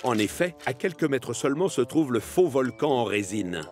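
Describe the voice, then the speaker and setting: A man's voice: medium pitch, animated, normal speed, darkish tone, faint music behind. A man narrating a children's cartoon story in a scripted storytelling style.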